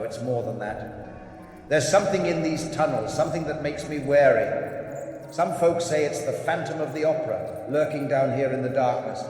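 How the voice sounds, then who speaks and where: A man voicing a cautious adult rat catcher who broods about dark cellars, his talk dropping to hushed tones. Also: mid-range voice, close to an everyday voice. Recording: echoey reverberant hall.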